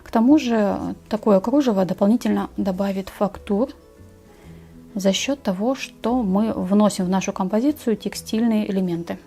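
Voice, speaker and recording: Bright-voiced woman narrating a craft tutorial over music. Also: mid-range voice, moderate pace. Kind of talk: step-by-step scrapbooking tutorial narration.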